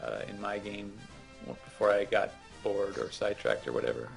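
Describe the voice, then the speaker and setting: A man co-hosting a gaming show, chatting casually and explaining things with hesitant pauses and fillers. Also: lowish voice, expressive, gravelly, soft background music. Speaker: gaming co-host. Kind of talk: casual chat, game advice.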